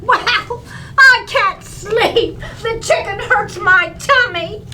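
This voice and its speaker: A woman's voice: very high voice, slow pace, noisy background. A woman voicing a mischievous, whiny little girl in an old-time radio comedy sketch. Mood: innocent, whiny.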